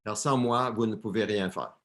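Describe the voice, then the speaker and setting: A man's voice: fairly low pitch, normal speed, a bit bright. A man interpreting a sermon live for a church, often repeating and correcting words as he translates.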